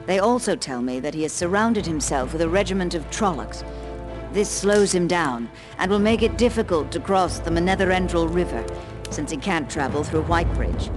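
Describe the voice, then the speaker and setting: Fantasy game older female head of a sorceress order: measured, formal, commanding, music underneath. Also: sits low. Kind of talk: scripted fantasy game acting.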